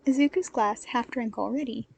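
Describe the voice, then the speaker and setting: A woman narrating a story in an expressive, scripted reading style. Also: fairly high pitch, moderate pace, gravelly, slight background noise. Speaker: podfic narrator. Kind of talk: expressive scripted fiction narration with character voices.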